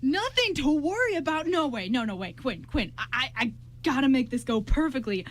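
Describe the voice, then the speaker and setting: A woman's voice: high-pitched, sing-song, normal speed. A woman playing an anxious, lovestruck young groom in an amateur radio play, stammering and repeating words. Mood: panicked. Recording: clearly noisy amateur recording with hum.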